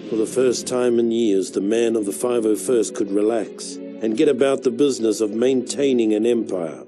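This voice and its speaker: Guy doing a grizzled old-hand trooper narrating a war game, low voice, dry and deliberate, loud music behind him. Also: lightly acted. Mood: wry, gritty. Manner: measured, deliberate, wry storytelling. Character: battle-hardened clone soldier veteran, proud, dry and grim. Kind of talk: scripted video game journal narration.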